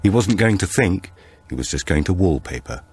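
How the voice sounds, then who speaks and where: A man reading a thriller audiobook as a dramatic narrator, with measured, expressive storytelling. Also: deep voice, breathy edge.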